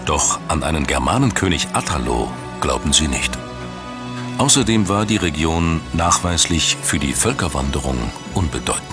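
Very deep male documentary narrator. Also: expressive, normal speed, over music.

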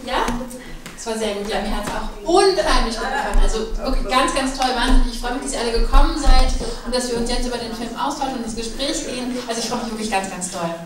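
A woman hosting a panel, enthusiastic and welcoming, with a fairly high voice. She is heard from a distance in a very echoey room. The audience is heard around her. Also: fast talker, a crowd in the background. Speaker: event moderator. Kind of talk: moderating a panel.

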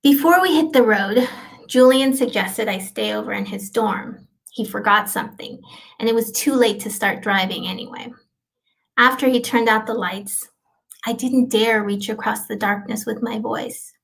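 A middle-aged woman gives a dramatic reading as an actor. Her voice sits fairly high for a woman, with a creaky edge. She speaks softly and reflectively, leaving deliberate pauses. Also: rough recording. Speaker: actor performing a literary piece. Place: indoors, small plain room.

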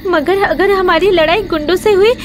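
A woman voicing a timid young village wife in an animated story. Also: very high-pitched, normal speed, slight background noise. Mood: frightened.

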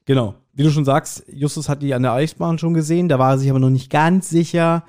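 Male podcast co-host, forties, soft mellow tone, chatty and jokey storyteller. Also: a little high, steady tone, fast talker, clean tone. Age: 40s. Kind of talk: casual podcast chat recapping an audio drama.

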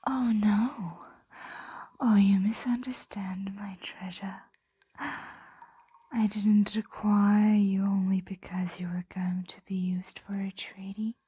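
A woman voicing a possessive, condescending ancient dragon in a breathy, coaxing ASMR roleplay whisper. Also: mid-range voice, fairly even intonation, slow pace, mellow, no room sound. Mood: coaxing, smug.

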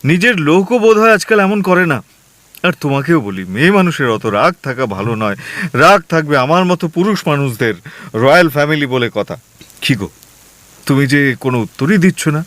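An actor playing a young, newly married husband who stammers and fusses in an audio drama. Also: male voice, a little high, big pitch swings, a little fast, a bit bright, no room sound. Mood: fretful.

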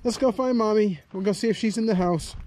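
A man's voice: sits high, a little fast, a little mellow, slight background noise, poor audio. A man chatting casually and coaxingly to a dog as he films a vlog outdoors. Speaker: vlogger. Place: outdoors on a rural homestead.

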